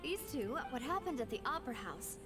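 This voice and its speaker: Casting: a polite, playful young lady in a video game, high voice, articulate, music underneath. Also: sing-song, fairly quick.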